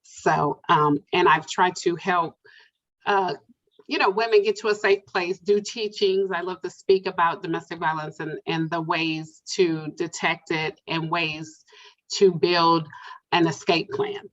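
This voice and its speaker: A woman introducing herself as an advocate guest on a video call, soft-spoken and earnest. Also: fairly low pitch, fairly even intonation, a little slow, muffled recording. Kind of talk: casual self-introduction.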